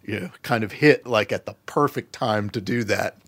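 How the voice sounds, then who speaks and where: A man's voice: fairly low pitch, moderate pace. A man speaking conversationally as an author being interviewed about his work. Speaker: interview guest, horror and fantasy author.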